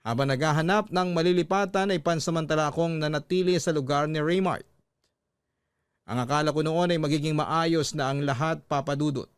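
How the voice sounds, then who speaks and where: A man's voice: on the high side, a little fast, smooth, no room sound, clean studio sound. A man reading a scripted first-person story as the narrator of a radio drama.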